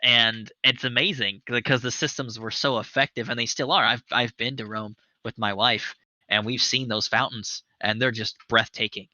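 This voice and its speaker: Bright, eager host rambling with enthusiasm. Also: male voice, medium pitch, steady tone, normal speed, dry recording. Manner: enthusiastic, rambling, swears for emphasis. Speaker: show host. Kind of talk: casual chat on a livestream. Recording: dry, slightly dull webcast audio.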